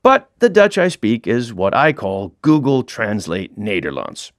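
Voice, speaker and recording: Wry man narrating with lively pitch. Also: measured pace, no echo, studio quality. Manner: wry, self-mocking storyteller. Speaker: author reading his own audiobook. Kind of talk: comic audiobook narration.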